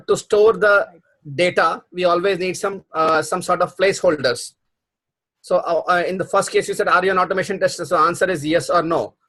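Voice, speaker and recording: A man's voice: high voice, bright, forceful tone. A middle-aged man teaching a programming class over a video call, explaining patiently with many restarts. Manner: explanatory, repeats himself, frequent restarts and fillers. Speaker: programming instructor. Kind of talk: live online class explaining concepts.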